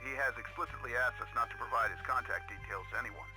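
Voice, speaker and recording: A brisk, formal military receptionist, voiced by a man in an adventure game, speaking quickly at a middling pitch. He sounds polite and by-the-book, and game music plays clearly underneath. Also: lightly acted, rough recording.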